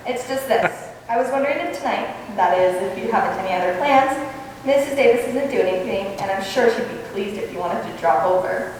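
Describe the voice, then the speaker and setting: A woman playing a wry, quick-witted schoolteacher with dry quips in a radio comedy. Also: fairly high pitch, lively intonation, close to an everyday voice. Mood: polite. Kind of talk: 1940s-style radio comedy acting. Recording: echoey hall from far off, faint noise.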